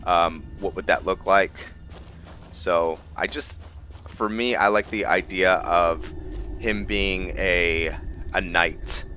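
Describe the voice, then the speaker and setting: A man giving casual gameplay commentary on a roleplay, thinking aloud and often pausing to find his words. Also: sits low, fairly even intonation, pushed and bright, faint music behind. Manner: musing, thinking aloud with frequent hesitations. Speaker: gaming video host.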